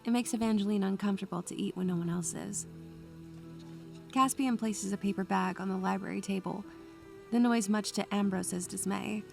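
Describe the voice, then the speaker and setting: A woman's voice: mid-range voice, fairly even intonation, normal speed, vocal fry, soft background music, close to an everyday voice. A woman playing a bookish, anxious young student who narrates her memories in a literary, atmospheric style. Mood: brooding, wistful. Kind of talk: atmospheric first-person scripted narration.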